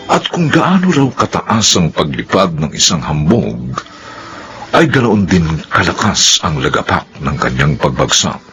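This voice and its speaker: A deep-voiced Filipino man narrates a radio drama with hugely swooping, theatrical emphasis. He speaks slowly and deliberately over prominent music. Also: fairly bright tone. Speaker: narrator and announcer. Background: dramatic musical score.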